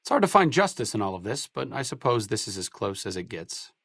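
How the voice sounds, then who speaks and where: A man's voice: on the low side, big pitch swings, fairly quick, creaky, a bit bright, breathy edge, dry recording, studio quality, exaggerated voice. An actor playing a wry, bookish, idealistic male doctor in a post-apocalyptic video game, articulate and dryly witty. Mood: thoughtful. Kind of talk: video game companion dialogue.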